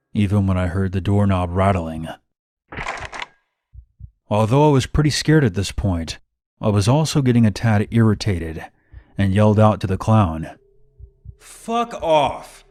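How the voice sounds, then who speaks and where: Male horror narrator, lowish voice, scripted first-person storytelling, music underneath. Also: darkish tone. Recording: music bed behind narration. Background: background music.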